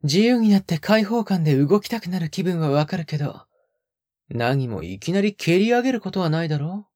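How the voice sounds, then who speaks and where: A woman playing a soft-spoken, possessive young man in an intimate audio drama, coaxing and falsely sweet, with a breathy voice that often drops to a whisper. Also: on the low side, big pitch swings, normal speed, no room sound, clean studio sound. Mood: mildly scolding.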